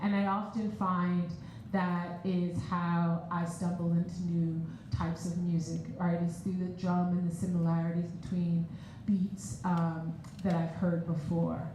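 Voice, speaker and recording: A woman hosting a live performance, casually introducing the musicians to the audience in a relaxed, rambling way with many fillers. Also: fairly low pitch, measured pace, very echoey, slight background noise, rough recording. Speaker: performance host. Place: large echoey event space.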